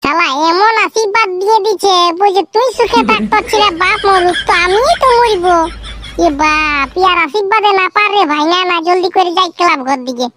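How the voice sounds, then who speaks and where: An actor playing an excitable, grumbling village man in a comic cartoon, squabbling in an earthy rural Purulia Bengali accent. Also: very high-pitched, fairly quick, very noisy. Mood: panicked, giving in.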